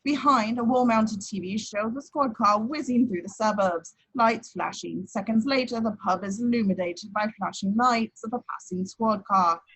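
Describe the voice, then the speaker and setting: A woman's voice: mid-range voice, normal speed, hardly any pauses, fairly bright tone, slightly rough, low-quality recording. A woman reading out screenplay stage directions as scripted narration in a table read. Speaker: action description reader.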